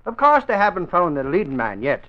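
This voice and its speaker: An actor playing an excitable, self-important Irish reporter in a 1940s radio drama, blustering in folksy, ungrammatical speech. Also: male voice, high voice, a little fast. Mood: blustering, aggrieved. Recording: dull old radio broadcast recording.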